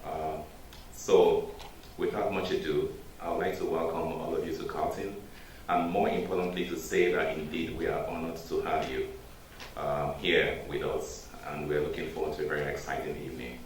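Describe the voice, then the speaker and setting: A man with a Nigerian accent, a native Igbo speaker, giving a measured, formal introduction of a guest with frequent hesitations in a university lecture hall. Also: extremely low pitch, little pitch movement. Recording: echoey hall, distant mic, faint noise.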